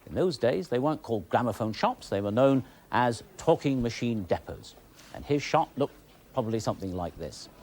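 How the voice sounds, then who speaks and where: A man's voice: mid-range voice, sing-song, normal speed, darkish tone. A man with a British accent presenting and explaining things in a documentary style. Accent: British English. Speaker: television presenter.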